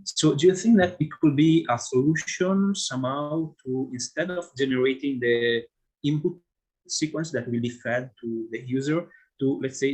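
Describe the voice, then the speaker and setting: A man on a video call puts a long question to the speaker and hesitates often, filling pauses as he goes. His voice sits at an ordinary middle pitch, and he keeps a polite tone. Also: darkish tone, poor audio. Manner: hesitant, many fillers, polite. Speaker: audience member asking questions. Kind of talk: academic Q&A.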